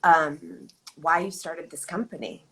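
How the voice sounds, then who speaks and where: A woman hosting a live video chat, thoughtful and measured, asking her guest questions. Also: low-pitched, vocal fry, fairly bright tone, a little hiss or hum, poor audio. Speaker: host. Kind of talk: casual live-stream interview.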